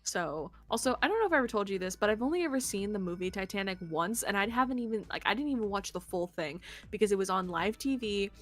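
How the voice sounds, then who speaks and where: Young woman, casual game stream chat, animated and rambling, ordinary pitch, background music. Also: fast talker, few pauses. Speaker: streamer co-hosting a visual novel playthrough. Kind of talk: casual chatty game commentary.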